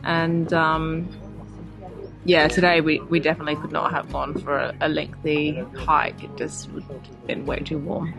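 A woman's voice: deep voice, little pitch movement, unhurried, creaky. Woman sailing vlogger chatting to viewers outside, easy plain explaining, music behind. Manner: friendly, explains plainly to camera. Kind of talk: casual chat to viewers. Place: outdoors by the sea.

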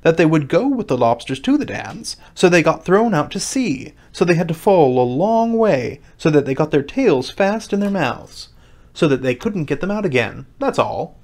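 Man as a brusque, high-pitched, bossy Gryphon. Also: sing-song, moderate pace, a little mellow. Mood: emphatic. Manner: emphatic, exclamatory delivery. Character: brusque, impatient Gryphon, bossy mythical beast. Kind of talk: storybook character voice.

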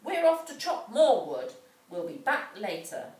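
Lady doing a mean bossy stepmother from a fairy tale, loud and emphatic, echoey room. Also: mid-range voice, big pitch swings, a little slow. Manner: commanding, emphatic. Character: stern, scheming stepmother, bossy and scolding. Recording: echoey room, distant mic.

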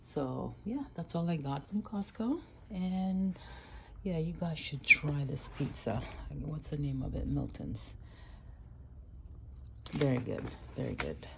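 A woman's voice: sits low, expressive, takes it slowly, gravelly, heavy background noise. A middle-aged woman vlogging casually from a parked car, chatting with many fillers about what she bought. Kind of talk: casual haul vlog. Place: parked car, then at home. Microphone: phone camera on the dashboard.

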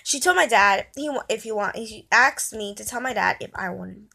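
Young female vlogger, kid to early teens, higher-pitched voice, dramatic and chatty storytime delivery, recorded on a handheld phone indoors. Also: moderate pace, vocal fry. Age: child or young teen. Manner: animated, dramatic storytelling with mimicked reactions. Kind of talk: casual storytime vlog chat. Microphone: phone at arm's length.

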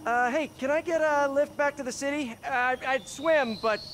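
A man's voice: extremely high pitch, moderate pace, a little hiss or hum. An actor playing an upbeat, boyish, wisecracking young male superhero in a video game, chatty and eager. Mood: relaxed, wry. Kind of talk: video game cutscene acting. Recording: loud backing music.

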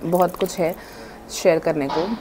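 A woman's voice: lowish voice, fairly even intonation, measured pace, mellow, slight background noise, poor audio. A woman in her thirties chatting casually to camera as a vlogger, mixing Hindi and English, recorded with a lapel mic on a home patio. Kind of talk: casual vlog chat. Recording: background music.

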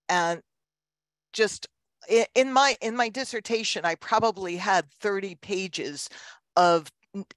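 Older woman, slow-paced, crisp voice, halting explanations, dry headset recording. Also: fairly low pitch, fairly bright tone, no echo. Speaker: guest lecturer, genealogy researcher. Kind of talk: informal question-and-answer talk. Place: indoors on a video call. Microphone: headset microphone.

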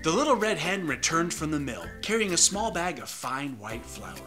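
A man's voice: fairly high pitch, big pitch swings, normal speed, over music. A man in his thirties, reading a children's story as a co-host with comic banter. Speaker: co-host and story reader, comedian. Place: small room, indoors, seated on a sofa.

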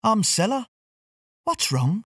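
A narrator voicing Cilla, a kind and caring female figure in a children's storybook, with a soft, coaxing manner. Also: mid-range voice, sing-song, slow pace, husky, no room sound, studio quality, pitched above the performer's own voice, full character voice. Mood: gentle.

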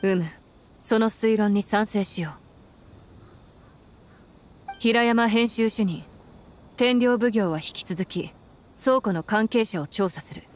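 Stern female general, mid-pitched and firm woman acting. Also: natural voice. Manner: formal, firm, authoritative. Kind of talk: Japanese anime game voice acting. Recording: background music behind voice.

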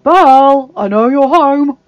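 A woman voicing a huge, smug young village bully in a story, with a rough, slow, taunting delivery. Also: medium pitch, dry recording, muffled recording, lightly acted. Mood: demanding. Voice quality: rough, rasping. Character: menacing, huge twenty-year-old man, smug village bully.

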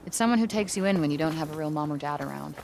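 A woman's voice: low-pitched, rapid-fire, creaky, background noise. A woman playing a guarded, wry young adventurer in a video game, speaking in an offhand, conversational way. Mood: wistful.

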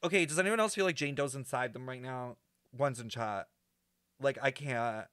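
A man's voice: mid-range voice, normal speed, fairly bright tone, no room sound, clean studio sound. A young man doing casual reaction commentary as a streamer, with a campy, dramatic, exaggerating way of talking. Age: young adult. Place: small indoor streaming room.

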